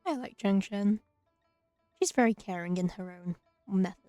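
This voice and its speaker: Wry, mellow-voiced woman gaming. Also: medium pitch, normal speed, highs cut off, faint music behind. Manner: wry, dry remarks. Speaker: gaming streamer. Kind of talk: casual let's play commentary.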